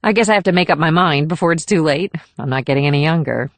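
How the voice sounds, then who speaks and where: A woman's voice: deep voice, quick pace, no room sound. A woman playing a kind but guarded government agent in a thriller audiobook. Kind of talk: audiobook character dialogue.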